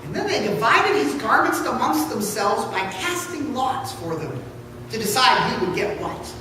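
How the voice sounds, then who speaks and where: Distant and ringing in a big, noisy church, a man reads scripture aloud as a pastor. He projects in a high voice, theatrical and deliberate. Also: big pitch swings, sharp tone. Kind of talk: dramatic scripture reading. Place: large church interior. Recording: echoey church hall from far off, noisy.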